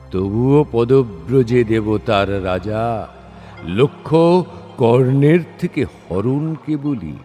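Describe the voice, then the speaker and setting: A man playing a proud, wounded, generous epic warrior in formal, declamatory dramatic verse over music. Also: mid-range voice. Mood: knowing, honoured. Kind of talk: dramatic Bengali verse recitation. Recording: studio voice over soft music.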